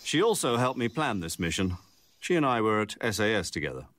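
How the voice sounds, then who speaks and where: An actor playing an authoritative older British military commander, giving a measured briefing in a video game. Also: male voice, on the low side, sing-song. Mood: knowing. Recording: game audio with loud insect noise.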